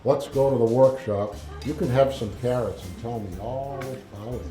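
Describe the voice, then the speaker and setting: Guy reading as a genial old father christmas, storybook style, soft mellow voice, echoey room. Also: mid-range voice, moderate pace, natural voice. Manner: genial, reassuring.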